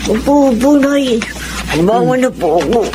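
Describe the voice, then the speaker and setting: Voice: mid-range voice, animated, a little slow, background noise, lightly acted. A performer playing an eager, respectful young boy in a Filipino radio drama, polite and deferential. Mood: respectful, reassuring.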